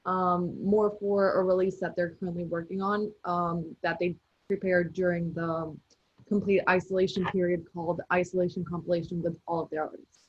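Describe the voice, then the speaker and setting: A young woman from Colombia introducing herself on an online panel, friendly and steady with some fillers. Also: lowish voice, moderate pace, husky, no echo. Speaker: alumni panelist. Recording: dull dry video-call audio.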